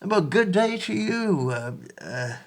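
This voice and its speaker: An actor playing a benevolent, earnest 1820s gentleman in a period audio play, with formal, courteous old-fashioned diction. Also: high voice, sing-song, slow, heavily acted.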